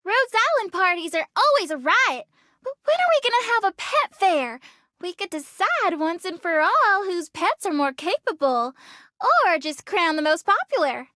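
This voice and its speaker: An actress voicing an excitable, playful young girl in a game, with a bubbly, exclaiming manner. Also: very high voice, moderate pace, no echo, studio quality, exaggerated voice. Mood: excited.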